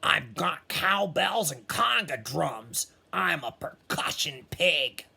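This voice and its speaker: A man's voice: on the high side, very animated, slow, gravelly, sharp tone, husky, pitched above the performer's own voice, exaggerated voice. A man voicing a friendly, eager male pig percussionist in a storybook.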